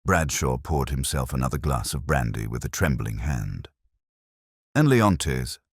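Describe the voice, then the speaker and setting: A man's voice: rumbling low, moderate pace, breathy edge, no room sound, clean studio sound. A man reading a scripted detective story as an audiobook narrator, in the first person.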